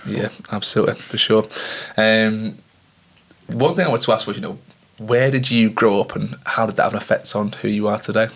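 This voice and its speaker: A man's voice: on the low side, brisk, gravelly, a bit bright. A man hosting a podcast, asking questions and encouraging his guest in casual chat. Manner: encouraging, affirming interviewer. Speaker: podcast host.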